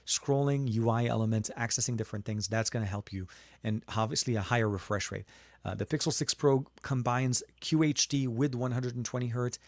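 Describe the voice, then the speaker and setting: A man's voice: medium pitch, a little fast, dry recording. A man from Lebanon hosting a casual live tech stream, answering viewer questions in a chatty way with frequent fillers and restarts. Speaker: tech livestream host.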